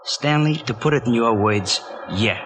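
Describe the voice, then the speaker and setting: An actor playing a gangster thug who speaks with pompous, stilted mock-refined diction in a 1940s radio drama. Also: male voice, medium pitch, normal speed, close to an everyday voice. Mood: condescending. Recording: noisy vintage 1940s radio recording.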